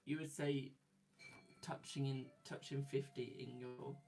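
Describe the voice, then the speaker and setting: A man's voice: mid-range voice, flat delivery, measured pace, vocal fry, over music. A man in his twenties, a tarot reader, chatting casually on a live stream and reading viewer comments aloud, laughing often. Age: 20s.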